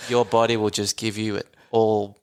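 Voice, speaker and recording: A man's voice: fairly low pitch, little pitch movement, normal speed, clear, resonant tone. A man, a voice therapist talking as a guest in a casual podcast conversation, enthusiastic and chatty with frequent laughs.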